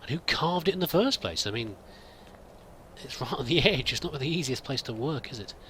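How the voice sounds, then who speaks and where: Bright-voiced man musing through a game. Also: mid-range voice, animated, fairly quick, a little hiss or hum. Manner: musing, hesitant, thinking aloud. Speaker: let's play roleplayer. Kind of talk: in-character game commentary.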